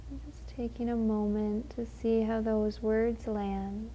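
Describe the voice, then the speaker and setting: A woman leading a guided meditation in a gentle, unhurried, soothing manner with long pauses. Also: fairly high pitch, flat delivery, muffled recording, slight background noise.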